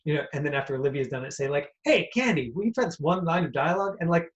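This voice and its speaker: A man hosting an online class informally, haltingly repeating phrases as he goes. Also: on the high side, expressive. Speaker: host and acting teacher. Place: on a video call. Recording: dull video-call audio.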